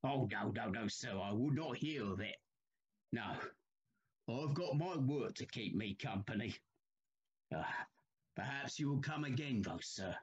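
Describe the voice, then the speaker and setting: A man's voice: medium pitch, animated, a little slow, long pauses, husky. A man playing a deferential, dutiful railway signalman in an audio play, humble and hesitant in manner, on a slightly muffled recording. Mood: polite, hesitant. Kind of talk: dramatic audio play acting.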